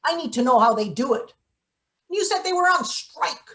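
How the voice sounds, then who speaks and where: Blunt, suspicious female maintenance worker, acted by a woman, fairly high voice, dry stage-comedy deadpan. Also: moderate pace. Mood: deadpan, scheming. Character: gruff, paranoid, scheming female maintenance worker. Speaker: actor. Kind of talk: live table read of satirical play.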